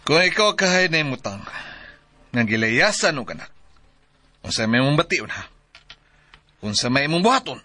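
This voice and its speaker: A proud father in a Filipino radio drama. He has a Cebuano accent and a bright, forceful, emphatic voice at a middling pitch. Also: very animated, moderate pace, pauses often, no room sound. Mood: indignant. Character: indignant, forceful widowed father confronting another parent.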